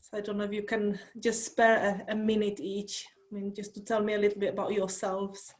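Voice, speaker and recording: A woman's voice: medium pitch, completely flat delivery, brisk, darkish tone. A woman with a Czech accent, a guest speaker giving an enthusiastic, chatty workshop talk over a video call. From Czech Republic. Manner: enthusiastic, chatty, many fillers.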